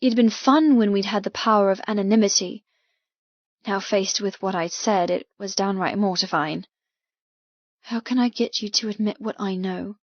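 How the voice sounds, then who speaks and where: A woman reading first-person fiction as an audiobook narrator, with dramatic, measured storytelling. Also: medium pitch, no echo, dull audio.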